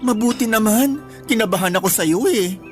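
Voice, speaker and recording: A woman's voice: fairly high pitch, moderate pace, darkish tone, soft background music, close to an everyday voice. A woman with a Filipino accent playing a caring, frank best friend, earnest and admonishing in a radio drama. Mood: supportive. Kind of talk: Tagalog radio drama acting.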